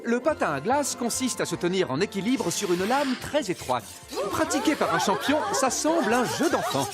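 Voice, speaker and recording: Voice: medium pitch, sing-song, normal speed, over loud music, natural voice. An actor voicing a prim, pedantic cartoon narrator who gives formal, precise instructions and gets easily flustered. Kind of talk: scripted mock-instructional narration.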